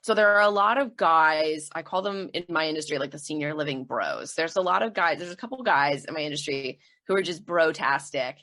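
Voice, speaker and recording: Chatty woman, lowish voice. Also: lively intonation, a little fast, hardly any pauses, sharp tone. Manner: laughs often, acts out quoted voices. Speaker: podcast guest, comedian. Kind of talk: casual interview chat.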